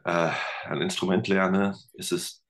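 A man's voice: low-pitched, steady tone, unhurried, a bit bright. A man in his thirties, a teacher and musician, talking casually as a podcast guest, thoughtful and full of fillers and restarts. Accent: German. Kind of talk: casual interview talk.

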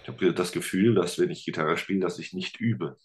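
A man with a notably low voice talks in a relaxed interview chat. He explains at length, stopping often for fillers as he thinks. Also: a little fast. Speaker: podcast guest, teacher and guitarist.

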